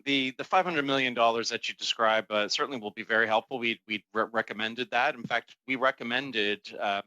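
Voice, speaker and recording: A man giving spontaneous testimony as an industry representative, explaining in a halting way with repeated words. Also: fairly low pitch, bright, forceful tone, no echo, rough recording. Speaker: airport association representative.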